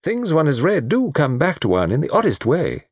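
A man voicing a fussy, excitable elderly British gentleman in a storybook, savouring his words theatrically. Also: a little high, normal speed, husky, dry recording, studio quality, pitched above the performer's own voice, exaggerated voice. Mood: musing.

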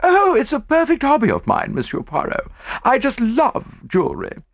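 A man voicing a gushing, chatty wealthy middle-aged woman in a high-pitched manner, in an audiobook. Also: wildly swooping pitch, normal speed, gravelly, no room sound, clean studio sound, full character voice. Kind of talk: audiobook character voice.

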